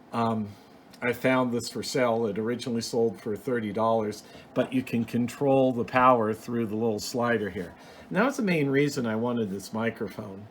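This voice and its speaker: A man giving a casual, unscripted show-and-tell as a hobbyist host, explaining things in a rambling, plain-spoken way. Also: medium pitch. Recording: clear background noise.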